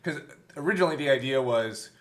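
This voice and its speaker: Male game master, medium pitch, casual recap chat, animated storytelling with acted-out quotes. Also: quick pace. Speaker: game master recalling a show's first season. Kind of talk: casual behind-the-scenes chat.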